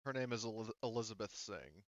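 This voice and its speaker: A man's voice: fairly low pitch, expressive, fairly quick, dry recording, highs cut off. A man narrating a tabletop game as game master in a casual, conversational way.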